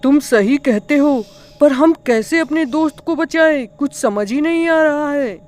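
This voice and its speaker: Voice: high-pitched, measured pace, darkish tone. A performer voicing young village friends in a cartoon horror story, in a dramatic, exclamatory way. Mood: urgent. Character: frightened or cocky young village friends hunting treasure.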